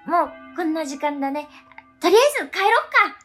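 A woman's voice: sits high, fairly quick, a bit bright, soft background music. A woman playing a quirky, earnest, lovestruck schoolgirl with a dramatic way of talking in a radio drama. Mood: eager, happy. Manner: dramatic, drops musical terms into her talk. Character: quirky, earnest schoolgirl in love with transfer student.

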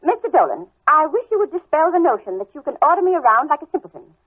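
Prim, high-voiced, bossy schoolmarm. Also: female voice, sing-song. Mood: imperious, unflappable. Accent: American. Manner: prim, brisk, imperious. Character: prim, bossy, unflappable middle-aged New England schoolteacher woman. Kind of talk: old-time radio drama acting. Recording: tinny, band-limited vintage radio recording.

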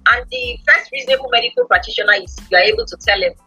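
A woman's voice: fairly high pitch, huge pitch swings, rapid-fire, sharp tone, breathy edge, no echo, dull audio, soft background music. A woman, a podcast guest giving instructive advice and often checking with tag questions.

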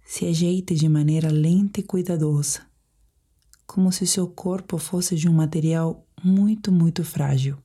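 A Brazilian woman guiding a sleep meditation in a soft, whispery, breathy voice, speaking in a calm, measured, soothing way. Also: lowish voice. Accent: Brazilian Portuguese. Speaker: meditation guide.

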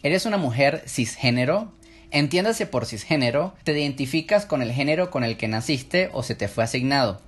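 A man from Venezuela with a Venezuelan accent, hosting his own podcast in a measured solo monologue, slowing down when he poses questions to listeners. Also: mid-range voice, expressive, faint music behind. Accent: Venezuelan Spanish. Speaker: podcast host.

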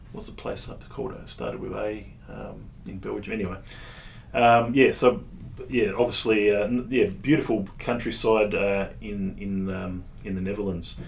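A man hosting a casual podcast interview, speaking in a halting way with repeated words. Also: on the low side, creaky, slight background noise, rough recording. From Tasmania, Australia. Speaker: podcast host.

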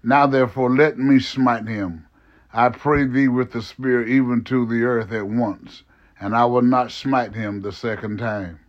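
A man's voice: mid-range voice, steady tone, measured pace, dull audio, close to an everyday voice. A man reading the lines of an eager soldier who urges his leader to let him strike. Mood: urging. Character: eager male soldier urging a kill.

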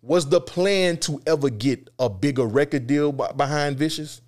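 Animated male interviewer, slangy. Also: a little high, normal speed. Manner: animated, slangy, frequent affirmations. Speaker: interviewer, host. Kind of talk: casual interview chat.

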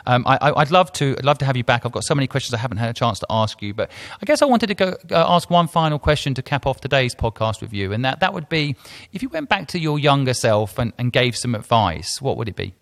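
A man's voice: medium pitch, very animated, fast talker, fairly bright tone. A man hosting a podcast, speaking eagerly and stumbling into restarts as he asks his guest a question. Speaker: podcast host. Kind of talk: interview wrap-up.

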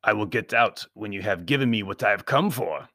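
Guy doing a sinister foreign henchman, guttural accent, pompous threats, creaky voice, storybook baddie. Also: lowish voice, lively intonation, fairly quick, fairly bright tone, no room sound, clean studio sound. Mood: menacing. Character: sinister foreign villain, fanatical secret-society thug, menacing and theatrical.

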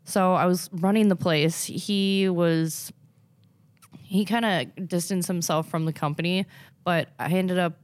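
A young woman with a Minnesotan accent talks casually as an interview guest, her voice sitting a bit low for a woman. She rambles and restarts, leaning on fillers like "um" and "you know." The sound is dry and close, with no room around it. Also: fairly even intonation, unhurried, no room sound. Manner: rambling, hesitant, with many fillers and self-restarts. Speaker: car detailing business owner, guest.

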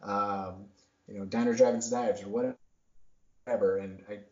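A man's voice: lowish voice, fairly even intonation, a little slow. A man in his thirties hosting a podcast, talking in a rambling, self-deprecating way full of fillers. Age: 30s. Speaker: podcast host. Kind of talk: casual chat. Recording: roomy, dull lo-fi sound.

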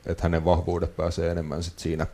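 Low-voiced, mellow man talking football. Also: monotone. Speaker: football podcast host. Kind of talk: casual sports discussion, previewing matches.

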